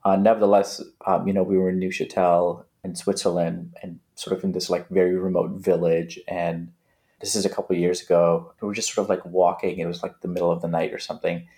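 Podcast guest: man, late 30s, from Afghanistan, very low voice, halting delivery. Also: little pitch movement, mellow. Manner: halting, many restarts and fillers. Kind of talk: casual interview chat.

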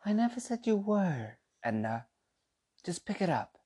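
A woman's voice: medium pitch, big pitch swings, measured pace, heavy background noise. A woman voicing a prim eighty-five-year-old sister who scolds her siblings about manners. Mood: scolding. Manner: primly scolding, proper.

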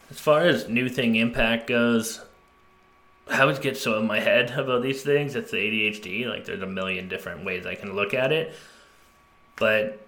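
A man's voice: medium pitch, fairly bright tone. A man co-hosting a casual comedy podcast, talking candidly with self-deprecating jokes. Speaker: podcast co-host. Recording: echoey room.

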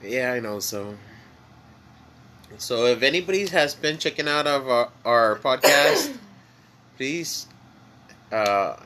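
A man whose first language is Spanish, speaking with a Mexican accent as a podcast co-host, rambling in a relaxed way with many fillers while high. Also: slow pace, pauses often, a little hiss or hum. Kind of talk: casual chat.

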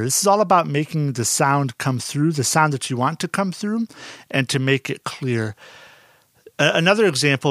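A man with a naturally dark voice, giving a relaxed, conversational lesson with plenty of fillers and restarts. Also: medium pitch, no echo, clean studio sound. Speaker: audio instructor. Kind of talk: casual explanatory lesson.

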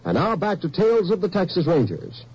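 A man reading network program promos in a smooth, upbeat announcer delivery. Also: sits high, huge pitch swings, fairly quick, darkish tone. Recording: dull old radio broadcast.

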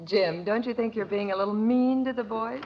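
A woman playing a calm, gently reproving wife and mother in an old radio comedy. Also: fairly high pitch, brisk, lo-fi sound, background noise, lightly acted. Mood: calm reproof. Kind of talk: 1950s radio sitcom acting.

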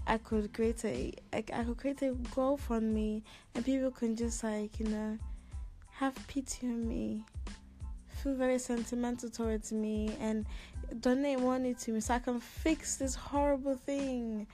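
Sassy young woman podcaster, slow and high-pitched. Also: steady tone, warm tone, faint music behind. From Ghana, lived there six plus years. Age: 20s. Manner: sassy, slangy, theatrically complaining. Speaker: podcast host. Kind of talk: casual solo podcast monologue.

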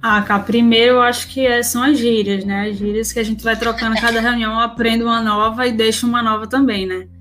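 Female interview guest, Northeastern Brazilian accent, informal and slangy, fairly even pitch, light music behind. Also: quick pace, hardly any pauses. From Recife, northeastern Brazil. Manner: informal, slangy, frequent tag questions. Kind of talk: casual chat.

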